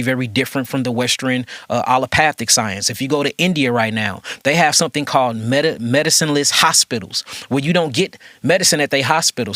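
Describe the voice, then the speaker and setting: Emphatic man with a bright, dry voice. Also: mid-range voice, dry recording. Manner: emphatic, preachy, repetitive rhetorical questions. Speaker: holistic health host. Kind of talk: conversational discussion.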